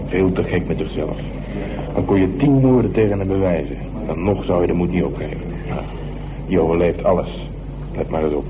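An actor playing a cynical, hard-boiled police inspector in a radio drama, talking in a wry, mocking, colloquial way. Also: male voice, low-pitched, brisk, close to an everyday voice. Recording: humming, noisy radio play recording.

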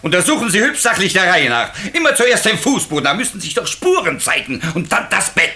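An actor in a radio play voicing an experienced older examining magistrate, gruff and sardonic, with a bossy, condescending way of talking. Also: male voice, high-pitched, very animated, a little fast, sharp tone, exaggerated voice. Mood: bossy, lecturing. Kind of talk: 1950s German radio play acting.

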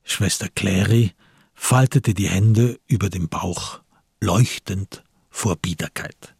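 A man with a husky, gravelly voice narrating a literary radio drama from a script. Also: deep voice, slow, darkish tone, no echo, studio quality.

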